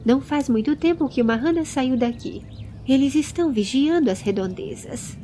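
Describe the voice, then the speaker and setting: Uma mulher interpretando uma senhora calma e cuidadosa, que fala sem alarde e tranquiliza, numa história em áudio. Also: female voice, sits high, normal speed, a little hiss or hum. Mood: tranquilizadora. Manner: unalarmed, reassuring. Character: calm, caring lady of the treehouse.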